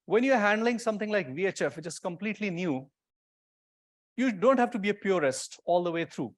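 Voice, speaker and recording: A man's voice: high-pitched, lively intonation, brisk. A man giving a technical conference presentation to an audience, explaining things informally and pointing things out as he goes.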